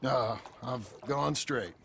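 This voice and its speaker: An actor playing a gruff, weary reformed outlaw in a video game, terse and blunt. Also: male voice, mid-range voice, takes it slowly, a bit bright, slight background noise. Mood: guarded. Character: gruff, weary male outlaw turned farmer. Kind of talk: dramatic video game acting.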